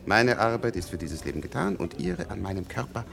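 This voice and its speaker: An actor in a German radio play, playing a weary, very old scientist who talks hesitantly and reflectively in a husky, gravelly voice. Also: male voice, on the low side, expressive, brisk, over music. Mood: resigned, wry. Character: weary, very old scientist with a longevity-treated middle-aged body.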